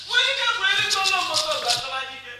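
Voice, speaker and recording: A woman with a Nigerian Igbo accent playing a fiery chanter who rouses the people with loud, repetitive, incantatory stage oratory. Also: extremely high pitch, big pitch swings, rapid-fire. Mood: rousing, declamatory. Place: stage in a large echoing hall, distant mic. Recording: echoey hall from far off, music behind.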